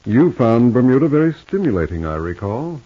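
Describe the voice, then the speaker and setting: An actor playing a smooth, condescending rich estate owner with an American accent in a 1950s radio soap opera. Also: male voice, medium pitch, big pitch swings, normal speed. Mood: condescending, needling. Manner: suave, evasive, patronizing. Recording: muffled old radio recording.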